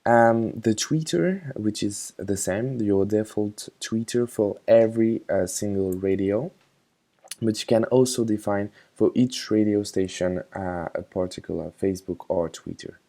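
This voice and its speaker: Male tutorial narrator, informal and hesitant, unhurried delivery, mellow tone. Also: lowish voice. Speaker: software tutorial instructor. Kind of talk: casual screen tutorial narration.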